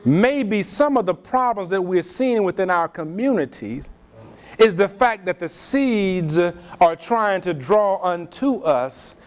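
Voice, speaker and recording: High-voiced man lecturing emphatically. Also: animated. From Louisiana, USA. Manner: slow, emphatic, preacher-like delivery. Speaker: speaker at a community event. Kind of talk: lecture with call and response.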